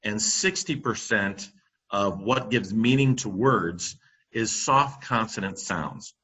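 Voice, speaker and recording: A middle-aged man giving a presentation over a computer microphone, halting often with ums and restarts. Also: on the low side, sharp tone, husky, low-quality recording. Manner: halting, many fillers and restarts. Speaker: company presenter on classroom audio. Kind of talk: webinar presentation.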